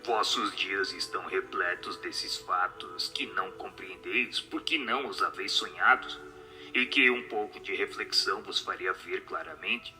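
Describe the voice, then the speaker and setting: A man voicing a solemn spirit in a radio drama, speaking in a measured, grave, didactic way. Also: low voice, pushed and bright, soft background music, voice pushed down, heavily acted, poor audio. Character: solemn spirit answering questions from the beyond.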